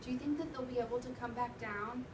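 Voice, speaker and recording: A woman's voice: a little high, fairly even intonation, fast talker. A woman teacher reading a storybook aloud to a class, expressively, with instructive, questioning talk. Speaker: elementary school teacher. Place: indoor classroom. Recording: echoey noisy room, distant mic.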